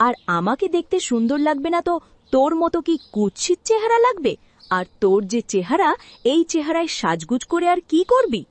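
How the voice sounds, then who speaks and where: Haughty young cartoon stepsister, played by a woman: spoiled, whining, very animated, dry recording. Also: high-pitched, brisk, dry recording. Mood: haughty, mocking. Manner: whiny, snooty. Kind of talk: Bengali cartoon dialogue.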